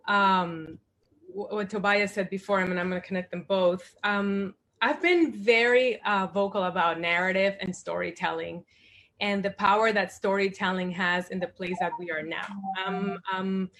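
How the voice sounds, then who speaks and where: A woman's voice: mid-range voice, a bit bright. A woman in her forties from the Dominican Republic, a guest at a panel discussion, speaking thoughtfully with many fillers and restarts.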